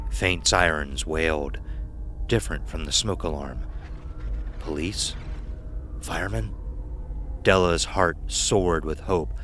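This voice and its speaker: Very deep-voiced male horror narrator. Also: a bit bright, slight background noise. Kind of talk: scripted horror story narration.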